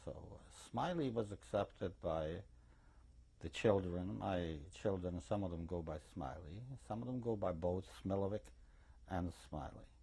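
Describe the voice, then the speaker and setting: An older man from eastern Czechoslovakia with an Eastern European accent, giving recorded testimony as an interviewee in a deliberate, anecdotal way. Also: low voice, gravelly, mellow, slightly rough, low-quality recording. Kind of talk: recorded oral history testimony.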